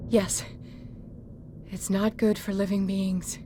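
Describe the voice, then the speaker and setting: A woman acting as a fragile, half-mad painter in a video game, breathy and husky, drifting dreamily and cryptically between halting phrases. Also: medium pitch, little pitch movement, background noise, lightly acted. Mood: ominous, insistent.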